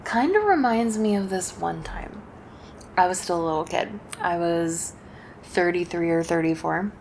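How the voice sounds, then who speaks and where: Woman playing a low-voiced, creaky, friendly succubus. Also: animated, measured pace, a little hiss or hum. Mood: chatty, reminiscing. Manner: casual, chatty, gently teasing. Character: wholesome friendly succubus demon woman, playful and bashful. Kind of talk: ASMR roleplay addressed to the listener.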